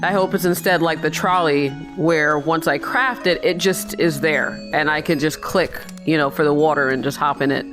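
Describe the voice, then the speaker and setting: A woman's voice: sits low, fairly even intonation, normal speed, few pauses, over music, low-quality recording. Woman rambling through a video game playthrough. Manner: rambling, thinking aloud, chatty. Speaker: gamer narrating her own playthrough. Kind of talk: casual let's-play commentary.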